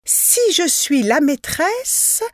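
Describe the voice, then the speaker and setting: An excitable, greedy peasant wife from a storybook talks emphatically, with dramatic pleading, as a woman reader acts her out. Her voice is high and leaps about lively. It is recorded dry and close. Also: drawn-out words, dry recording, heavily acted. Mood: scheming.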